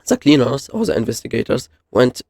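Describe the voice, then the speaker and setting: Man, casual explainer, halting and rambling, creaky voice, dry close sound. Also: mid-range voice, sing-song, darkish tone, dry recording. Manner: halting, rambling, self-correcting. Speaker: YouTube host reviewing horror videos. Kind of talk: casual unscripted explainer commentary.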